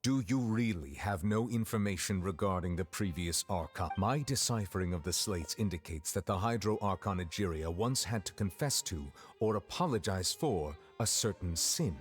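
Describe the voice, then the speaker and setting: A man voicing a stern, composed chief judge in a video game, speaking in a formal, measured, grave manner. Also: sits low, expressive. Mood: probing. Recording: faint music behind game dialogue.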